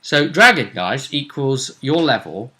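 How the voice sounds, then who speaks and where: A man co-hosting a casual recap of a tabletop game as game master, telling it in a measured storyteller's way with pauses. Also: mid-range voice, big pitch swings, sharp tone.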